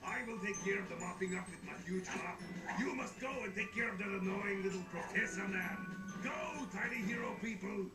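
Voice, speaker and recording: An actor voicing a boastful, brawny fairy drill sergeant in a cartoon game. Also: male voice, high voice, a little fast, over loud music, natural voice. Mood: commanding. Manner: bombastic, pompous. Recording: echoey, distant, loud noise behind.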